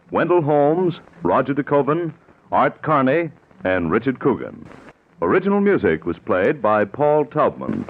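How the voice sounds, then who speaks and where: Lively 1940s male radio announcer. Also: medium pitch. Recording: narrow old radio recording with organ music.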